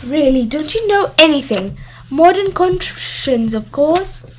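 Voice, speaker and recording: A woman's voice: high-pitched, lively intonation, a little slow, a little mellow, a little hiss or hum, pitched above the performer's own voice, rough recording. A woman voicing a vain, bossy know-it-all witch with a snappish, scornful manner in a storybook reading. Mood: superior.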